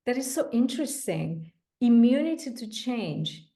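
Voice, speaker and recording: Slow, deliberate woman podcast host. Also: a little high, very animated, dark tone. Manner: slow, deliberate, effusive praise. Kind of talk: podcast interview.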